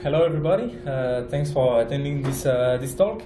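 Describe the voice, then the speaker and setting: A man from France with a French accent, giving a conference talk as a project manager, halting, with many fillers and restarts. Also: mid-range voice, echoey room, music in the background. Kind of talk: conference presentation. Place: conference room.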